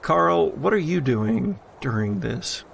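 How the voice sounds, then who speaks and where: A man working as game master, narrating a tabletop horror game in a measured, dramatic way with deliberate pauses. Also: mid-range voice, expressive, creaky, slight background noise.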